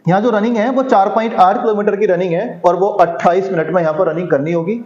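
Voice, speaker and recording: Guy coaching exam students, high voice, keeps asking then answering his own questions, muffled lo-fi audio. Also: steady tone, fast, darkish tone. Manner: asks himself rhetorical questions and answers them; repeats key figures for emphasis. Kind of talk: explanatory exam-prep lesson. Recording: echoey room, dull lo-fi sound.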